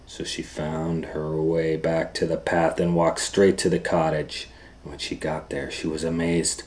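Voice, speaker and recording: A man reading a children's story aloud as host, dramatically, moving between hushed and loud. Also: very deep voice, level tone, unhurried. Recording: faint background noise.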